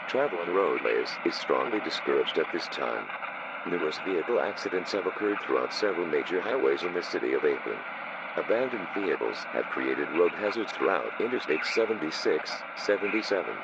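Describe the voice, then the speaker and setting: A very deep male emergency alert announcer voiced by a man, formal and robotic. The voice is gravelly and comes through a muffled broadcast buried in loud radio noise. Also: full character voice. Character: automated emergency alert announcer, flat and official.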